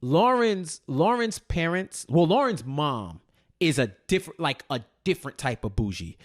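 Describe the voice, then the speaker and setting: Higher-pitched man in casual recap chat. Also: sing-song, moderate pace. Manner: slangy, repeats phrases as thoughts form, checks in with "right?". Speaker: podcast co-host.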